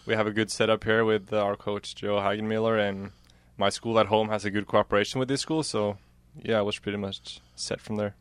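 Low, even-toned young Norwegian man. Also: brisk, few pauses, smooth, no echo. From Norway. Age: young adult. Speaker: student athlete, interview guest. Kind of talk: casual interview answers.

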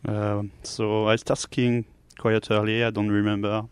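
A young man from Switzerland, answering casually in halting English. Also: lowish voice, monotone, clear, resonant tone, dry recording. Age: young adult. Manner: halting non-native English. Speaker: student athlete, interview guest.

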